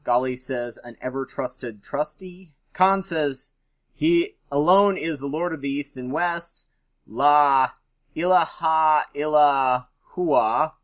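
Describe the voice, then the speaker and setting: A man's voice: on the high side, slow pace. Man reading aloud with casual skeptical asides. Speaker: host reading and commenting on scripture.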